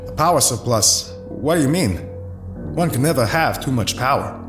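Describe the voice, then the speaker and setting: An arrogant, scheming tycoon acted by a man in an audio drama. The mid-pitched voice is pompous and menacing, with a roomy echo over music. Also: animated, moderate pace, natural voice. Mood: scornful. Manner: pompous, smooth-talking.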